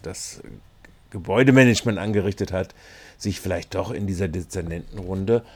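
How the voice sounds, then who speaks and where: A man with a fairly low voice gives local news commentary. His delivery is wry and colloquial, and he feels his way through longer sentences with some halting. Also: husky. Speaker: reporter.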